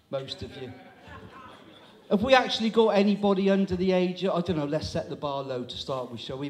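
A man's voice: fairly high pitch, a little mellow. A man in his sixties working as compere, teasing the audience in a chatty stand-up crowd-work style on a small town hall stage. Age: 60s. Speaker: comedy compere. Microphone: handheld microphone.

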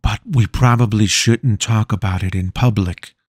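A man's voice: lowish voice, steady tone, normal speed, no room sound, clean studio sound, close to an everyday voice. A man voicing a polite, earnest teenage boy.

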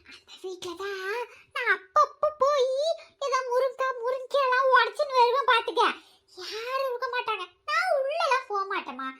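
A woman voicing a sharp-tongued older village woman who lectures scornfully. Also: very high-pitched, lively intonation, normal speed, heavily acted. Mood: lecturing.

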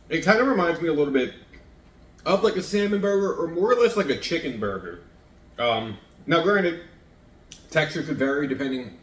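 Chatty man in an echoey kitchen. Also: medium pitch. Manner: chatty, rambling, self-deprecating, talks while eating. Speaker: home cooking vlogger. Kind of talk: casual chat to camera. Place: home kitchen, indoors. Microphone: fixed camera across the counter.

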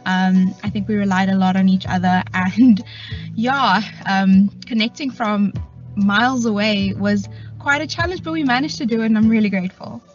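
A woman's voice: medium pitch, moderate pace, lo-fi sound, faint music behind. A young woman graduate giving a testimonial from a car, laughing often. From South Africa. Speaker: recent graduate. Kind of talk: testimonial to camera. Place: in a parked car.

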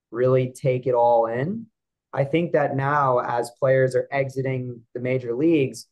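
A man's voice: medium pitch, highs cut off. A man co-hosting a casual podcast, talking in long rambling turns full of "like" fillers. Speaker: podcast co-host. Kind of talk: casual interview chat.

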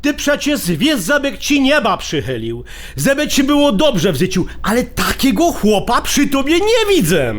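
An actor playing a stubborn, hot-tempered older brother with a Polish highlander accent, indignant and blustering in an audio drama. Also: male voice, wildly swooping pitch, normal speed, exaggerated voice. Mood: outraged, hurt. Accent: Polish highlander (Goral). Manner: indignant, blustering, exclaiming in rural dialect.

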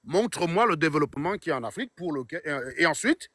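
A man's voice: fairly high pitch, expressive, quick pace, fairly bright tone, no room sound, studio quality. A middle-aged man, hosting a live stream outdoors on his phone, mocking and insistent. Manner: combative, mocking, insistent questioning. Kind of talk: casual livestream chat. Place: outdoors on a sunny street. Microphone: phone at arm's length.

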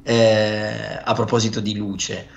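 Low-voiced man musing on a call. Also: monotone, slow, gravelly, highs cut off, a little hiss or hum. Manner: thoughtful, hesitant openings. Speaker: podcast guest. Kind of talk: casual philosophical chat. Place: home room, video call. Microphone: earphone mic.

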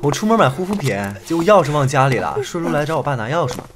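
A man's voice: a little high, racing, background noise. An actor playing a playful, cheeky young male cop who moonlights as a chatty beauty streamer in an audio drama. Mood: brisk, cheeky. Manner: animated, teasing, casual. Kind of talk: Chinese audio drama acting.

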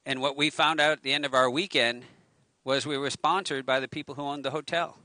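A man's voice: medium pitch, fairly even intonation, a little fast, pushed and bright, no room sound. A middle-aged man preaching a casual sermon outdoors on a handheld mic, chatty, with joking self-mocking asides. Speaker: pastor. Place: outdoors by a waterfront on a lawn. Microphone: handheld microphone at a lectern.

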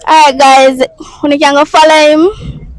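A young Jamaican woman with a high, bright voice asks passersby questions in an upbeat, playful patois-tinged way. She holds a handheld mic out on a city street, and her voice comes through close and dry. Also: level tone, unhurried, dry recording. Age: young adult. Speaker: street interviewer. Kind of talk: casual street interviews.

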